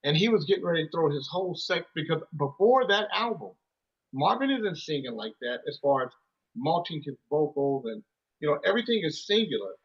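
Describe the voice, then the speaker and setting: Husky guy rambling thru music stories, kinda high voice, muffled lo-fi sound. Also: very animated, fairly quick. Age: 30s. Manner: rambling, lots of restarts and "you know". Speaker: musician guest. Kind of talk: casual storytelling interview.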